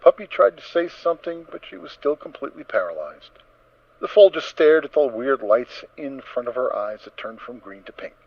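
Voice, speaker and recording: Dry, mid-pitched male story narrator. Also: sing-song, normal speed, gravelly, no room sound. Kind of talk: dramatic scripted story narration. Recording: dull, dry lo-fi recording.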